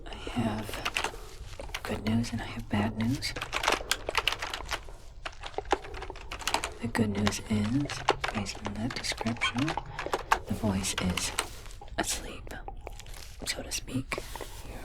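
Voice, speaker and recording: A woman playing a calm, methodical alien medical technician in a hushed, deliberate ASMR roleplay, reassuring the listener. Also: on the high side. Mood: hushed, apologetic. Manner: slow, deliberate, hushed delivery.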